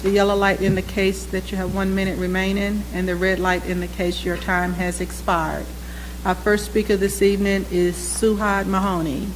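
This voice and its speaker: A woman's voice: mid-range voice, little pitch movement, noisy background. Formal woman chairing a meeting. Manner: measured, formal, reads rules aloud. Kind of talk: formal procedural reading. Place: public meeting chamber.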